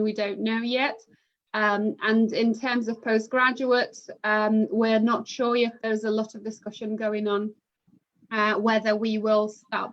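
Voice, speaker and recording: A woman from Poland with a fairly high voice talks in a calm, measured way, pausing often on fillers. She is on a video call from home. The sound is muffled and lo-fi, like compressed online call audio. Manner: measured, hesitant with many fillers. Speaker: university international recruitment manager. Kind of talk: live online admissions session.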